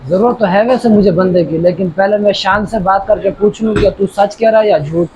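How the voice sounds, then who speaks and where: Master tailor and employer, male actor, high-pitched, wary, blunt, slight background noise. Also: brisk, lightly acted. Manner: questioning, blunt. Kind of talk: moral story drama acting. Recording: faint background ambient noise.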